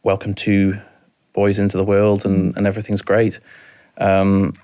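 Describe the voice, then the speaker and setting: A Welsh man in his thirties with a very deep voice that barely moves in pitch. He talks softly and haltingly, often repeating a word before going on. He is a guest on a talk podcast telling a hard story from his own life. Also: monotone. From rural mid Wales. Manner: halting, repeats words, soft-spoken. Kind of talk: emotional personal storytelling.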